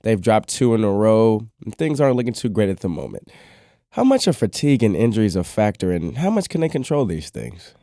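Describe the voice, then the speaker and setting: Male interviewer for a casual sports show, lowish and very lively voice, chatty delivery. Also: fairly quick, dry recording, clean studio sound.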